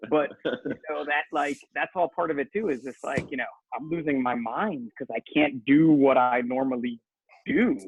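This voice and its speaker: A man's voice: mid-range voice, moderate pace, husky, dull audio. A man chatting casually and emphatically as a guest on a panel podcast, in informal language. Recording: noisy live call, clicks.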